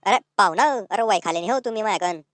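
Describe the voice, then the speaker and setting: A woman plays a cheeky young village lad in a comedy cartoon. He talks very quickly and suspiciously, with a rural Ahirani accent from north Maharashtra. The sound is close and dry. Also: medium pitch, dry recording, natural voice.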